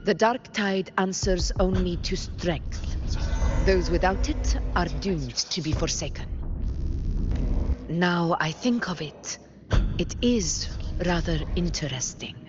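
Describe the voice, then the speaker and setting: An actress voicing a proud, battle-hardened woman champion in a video game, solemn, measured and deliberate. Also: on the low side, expressive, noisy background. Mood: brooding, solemn. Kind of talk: dramatic video game voice acting. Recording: dull sound under loud music.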